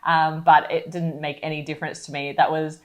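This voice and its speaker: Chatty woman, lower voice. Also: fairly quick. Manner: chatty, animated storytelling with acted-out quotes. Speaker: board game channel co-host. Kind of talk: casual travel chat.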